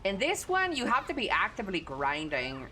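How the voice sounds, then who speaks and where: A woman's voice: medium pitch, wildly swooping pitch, normal speed, bright, forceful tone, slight background noise. A woman with a Texan Southern accent chatting casually and crudely with her audience while streaming a game. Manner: crude, profane, laid-back. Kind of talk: casual gaming stream chat.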